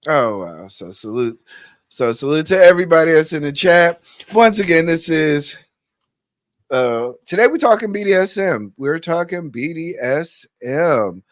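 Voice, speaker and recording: Halting man with a higher voice. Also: big pitch swings, no room sound, clean studio sound. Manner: loose and halting, repeats words, stumbles into sentences. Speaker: podcast host. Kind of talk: casual podcast chat.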